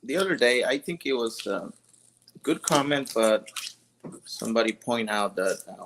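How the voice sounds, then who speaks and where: A man's voice: fairly low pitch, noisy background. A man from Mexico with a Mexican Spanish accent, sharing business tips in an easygoing, hesitant way. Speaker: small business owner. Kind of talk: casual tutorial chat.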